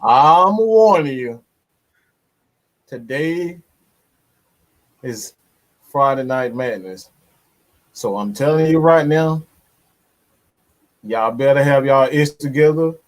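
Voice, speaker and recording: A man's voice: mid-range voice, expressive, takes it slowly, pauses often. A man hosting a casual sports talk stream, boasting, swearing freely and hyping up the audience. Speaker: sports talk show host. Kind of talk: casual live stream banter.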